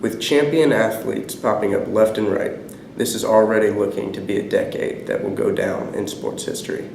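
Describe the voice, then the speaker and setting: A man's voice: lowish voice, moderate pace, creaky, darkish tone, soft background music. Young man presenting sports, echoey. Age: teens. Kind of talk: scripted student newscast. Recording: echoey room, noisy amateur recording.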